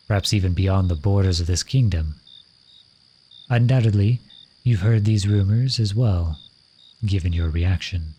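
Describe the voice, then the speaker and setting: A lovesick royal at a masquerade, played by a man, speaks in a fairly low voice. His phrasing is formal and courtly, addressed intimately to one listener as in a romantic audio roleplay. Crickets and night ambience are clearly audible behind him. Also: dark tone, slight background noise, natural voice. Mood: composed, candid.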